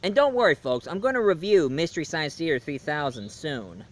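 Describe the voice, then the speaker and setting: A man hosting a casual movie review show, rambling with lots of fillers and restarts and swinging between loud bursts and quiet asides. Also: on the high side, expressive, brisk.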